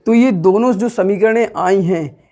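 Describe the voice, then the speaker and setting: A man's voice: high-pitched, measured pace, mellow. A man with a Hindi accent teaching maths step by step, asking the class questions and answering them himself, patiently repeating each step. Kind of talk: classroom lesson, explaining step by step.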